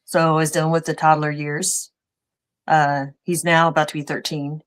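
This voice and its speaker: A woman guest on a podcast, answering in a halting way and searching for words with many fillers. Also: low voice, little pitch movement, clean tone. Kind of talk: conversational interview answers.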